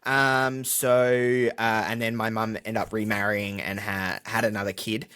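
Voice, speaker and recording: A man's voice: lowish voice, flat delivery, unhurried, pushed and bright, smooth. A man with an Australian accent talking candidly and casually as a podcast guest, with a jokey, self-deprecating streak. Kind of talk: casual conversational interview.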